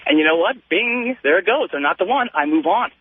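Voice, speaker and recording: Fast, animated high-voiced man on phone. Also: a little hiss or hum. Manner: emphatic, repeats phrases in lists. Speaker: radio show caller. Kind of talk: casual opinionated phone-in rant. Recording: tinny phone line.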